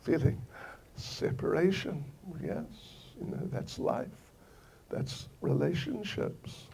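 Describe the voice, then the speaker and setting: An older man from New Zealand gives a devotional lecture at a very unhurried pace. His voice is mellow and dark, with a husky, gravelly edge, and he tells the story gently, coming back to the same phrases again and again. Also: a little high. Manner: slow, gentle storytelling with soft laughs and repeated phrases. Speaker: swami giving a scripture lecture.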